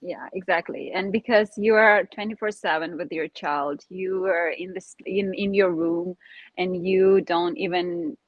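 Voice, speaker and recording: A woman's voice: on the low side, steady tone, measured pace, no room sound, lo-fi sound. A woman whose native languages are Ukrainian and Russian, a Montessori facilitator speaking as a guest over a video call, hesitant with many fillers. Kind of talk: conversational interview.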